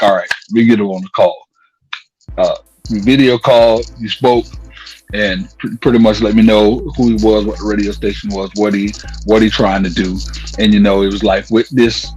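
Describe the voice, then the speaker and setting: A man's voice: lowish voice, a little mellow, low-quality recording. Slangy man chatting over music. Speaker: radio host being interviewed. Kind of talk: casual interview chat. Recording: music playing behind the voice.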